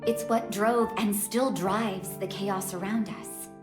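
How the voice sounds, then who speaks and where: A woman's voice: medium pitch, fairly even intonation, slow pace, lightly acted. An actress voicing a young-looking priestess of a dragon god, a long-lost doting mother, in an English game dub. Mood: solemn, explanatory. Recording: echoing voice under prominent music. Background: guitar and instrumental music.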